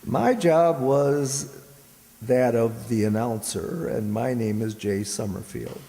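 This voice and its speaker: A man announcing the credits of an amateur radio drama, in a measured, playfully formal way. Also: medium pitch, vocal fry. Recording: echoey hall with background noise.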